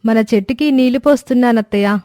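A woman's voice: a little high, fast talker, mellow, no room sound, lightly acted. Woman acting earnest young daughter-in-law, dry sound. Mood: pleading. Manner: earnest, pleading. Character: cheerful, pleading, stubborn young village daughter-in-law. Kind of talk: cartoon moral-story voice acting.

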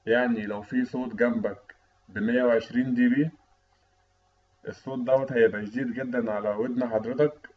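A man with an Egyptian Arabic accent giving an explanatory technical lecture, teaching didactically as an instructor. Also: medium pitch. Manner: didactic, asks and answers own questions. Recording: dull, lo-fi voice-over recording.

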